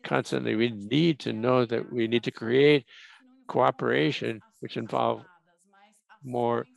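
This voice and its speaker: A man giving simultaneous interpretation of a panel discussion, talking in halting bursts with restarts and fillers. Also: medium pitch, steady tone, vocal fry, no echo, poor audio. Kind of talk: live interpretation of a webinar panel.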